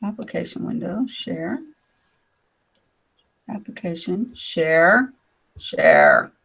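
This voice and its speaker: An older woman with a raspy voice from years of smoking talks slowly and a little low. She rambles through her points with fillers and side remarks, speaking into a computer mic on a video call. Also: huge pitch swings, a bit bright, low-quality recording. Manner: rambling, with many fillers and asides. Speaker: co-host of an online hangout. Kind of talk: casual chat on a video call.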